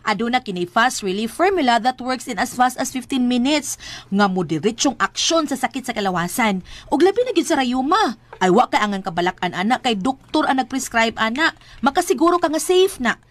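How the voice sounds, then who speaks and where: A woman's voice: fairly high pitch, sing-song, fairly quick, no room sound. A woman playing a long-suffering, nagging wife with an exasperated manner in a comic drama skit. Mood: reassuring, persuasive. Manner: scolding, exasperated. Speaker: character in a comedy skit. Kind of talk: exaggerated comic Filipino drama acting.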